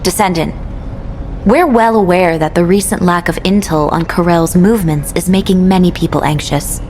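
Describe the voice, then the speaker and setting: A woman's voice: lowish voice, normal speed, a little mellow, a little hiss or hum, lightly acted. A woman voicing a headquarters operator giving the player an official mission briefing in a video game. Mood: measured. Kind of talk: scripted game dialogue.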